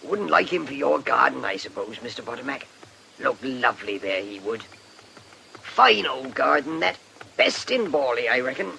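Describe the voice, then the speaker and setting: A man's voice: mid-range voice, very animated, normal speed, vocal fry, sharp tone, slight background noise, heavily acted, poor audio. A man playing a garrulous village stonemason with a rural English accent and homespun salesman's patter in a radio drama. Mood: salesmanlike, folksy. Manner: garrulous, homespun country phrasing. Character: chatty rustic village stonemason, folksy salesman.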